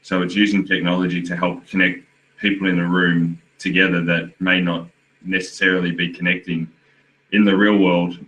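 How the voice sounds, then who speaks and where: Deep, flat-voiced male panelist. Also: poor audio. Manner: measured, with many "you know" fillers. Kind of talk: panel discussion answer.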